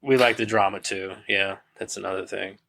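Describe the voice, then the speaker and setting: Laid-back joking man, bright voice. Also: on the low side, fairly even intonation, moderate pace, gravelly. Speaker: podcast co-host. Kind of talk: casual podcast chat.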